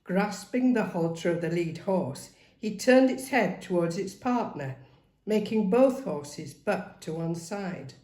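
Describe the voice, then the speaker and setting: Storyteller: middle-aged woman, measured and dramatic, very lively intonation, roomy home recording. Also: medium pitch. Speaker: author reading their own book aloud.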